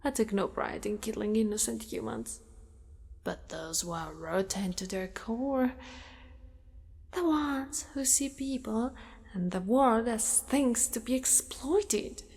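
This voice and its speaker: A woman voicing a possessive, doting female spider monster in an ASMR roleplay, with formal wording and a hushed delivery that often slips into whispers. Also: medium pitch, animated, slow pace, vocal fry. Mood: possessive, soothing. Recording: long reverberant echo.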